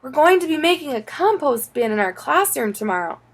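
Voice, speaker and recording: Earnest, easily upset little boy for a kids' audiobook, played by a woman, high and swooping. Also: sing-song, a little fast. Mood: eager. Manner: stammering, pleading when upset. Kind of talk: children's audiobook character.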